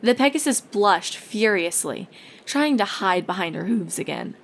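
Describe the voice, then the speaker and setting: A woman's voice: mid-range voice, expressive, a little slow, vocal fry. A woman reading scripted story narration as the narrator of a fan fiction tale.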